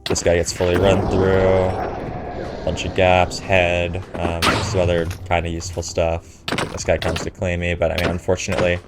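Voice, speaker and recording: Stuffy-nosed young man with a very low voice. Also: completely flat delivery, normal speed, heavy background noise. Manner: casual, filler-heavy, chatty. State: stuffy nose from a cold. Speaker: gaming commentator. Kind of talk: recorded gameplay voiceover commentary.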